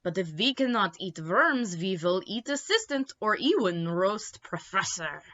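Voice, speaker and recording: A woman's voice: medium pitch, sing-song, measured pace, a bit bright, highs cut off. A woman voicing a sly, menacing male head vampire for a children's storybook. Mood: hungry.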